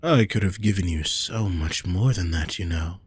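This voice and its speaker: A man playing a possessive, lovesick wealthy nobleman in a romantic audio roleplay, speaking formally and deliberately to the listener. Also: deep voice, slightly rough, no room sound. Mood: smug, reproachful. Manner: formal, measured, deliberate phrasing.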